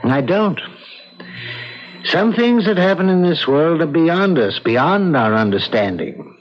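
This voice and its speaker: An actor in a 1970s radio drama playing a grave, thoughtful psychiatrist who speaks deliberately and with rhetorical emphasis. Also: male voice, on the high side, very animated. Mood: grave, puzzled. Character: grave, thoughtful male psychiatrist, calmly puzzled. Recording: dull 1970s radio broadcast.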